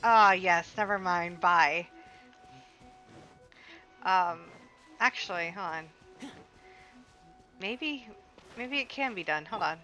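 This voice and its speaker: A woman's voice: fairly low pitch, slow, pauses often, muffled recording, faint music behind. A young woman chatting casually and expressively to herself as she streams a video game. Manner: expressive, self-talking, playful. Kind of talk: casual live gameplay commentary.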